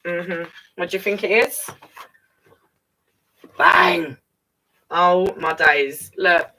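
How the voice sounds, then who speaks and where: A woman's voice: on the low side, extremely slow, sharp tone, poor audio. A woman reading a children's picture book aloud expressively, with chatty asides straight to young listeners. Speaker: children's storyteller.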